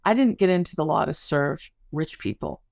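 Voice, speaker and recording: A woman's voice: low-pitched, animated, moderate pace, vocal fry, a little mellow, no room sound, clean studio sound. A middle-aged woman speaking as an academic guest in a podcast interview, explaining things articulately through stories and analogies.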